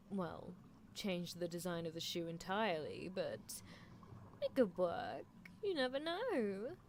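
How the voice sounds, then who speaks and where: Woman as a slow, swooping, teasing mad scientist. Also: medium pitch, slight background noise. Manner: theatrical, musing, drawn-out teasing delivery. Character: eccentric female mad scientist, gleefully menacing, doting on test subject. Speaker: streamer performing a character roleplay. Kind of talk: live ASMR character roleplay, improvised replies to listeners.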